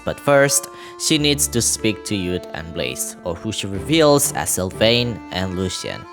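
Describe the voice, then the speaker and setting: A man narrating a scripted game story recap as a video creator, dropping in casual asides. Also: on the low side.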